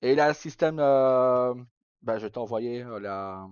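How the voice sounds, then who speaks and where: A man's voice: mid-range voice, a little slow, a little mellow, smooth, dry recording, highs cut off. A man hosting a livestream, chatting informally and casually with some mild swearing. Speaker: livestream host. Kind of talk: casual chat.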